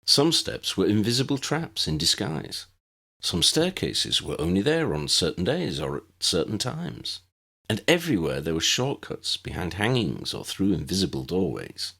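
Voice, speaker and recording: A man's voice: sits low, sing-song, normal speed, gravelly, pushed and bright, breathy edge. A man reading scripted fiction as an audiobook narrator.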